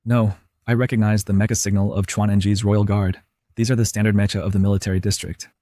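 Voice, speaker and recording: A man's voice: lowish voice, flat delivery, fast talker, warm tone, dry recording, close to an everyday voice. A man voicing a pragmatic, matter-of-fact military strategist in an English anime dub. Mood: alert.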